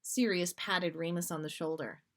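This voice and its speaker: A woman's voice: on the low side, steady tone, normal speed. A woman reading fiction aloud as a storyteller and podcast host.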